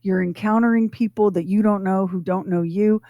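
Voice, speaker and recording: A woman's voice: medium pitch, fairly even intonation, normal speed, no room sound, highs cut off. Dry studio sound, conversational woman host. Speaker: radio show co-host. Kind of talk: casual conversational podcast talk.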